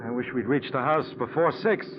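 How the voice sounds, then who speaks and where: An actor playing a grave, concerned friend who believes in omens in a 1940s radio suspense drama, speaking in a solemn, earnest, deliberate way. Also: male voice, mid-range voice, background noise, close to an everyday voice. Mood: uneasy. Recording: tinny, narrow vintage 1940s radio recording.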